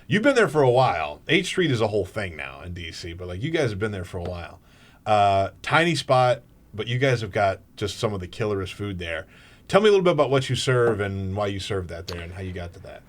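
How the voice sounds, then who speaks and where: A man hosting a talk radio show in a casual, energetic way, joking and laughing often as he interviews a guest. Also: on the low side, brisk. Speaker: fill-in radio show host.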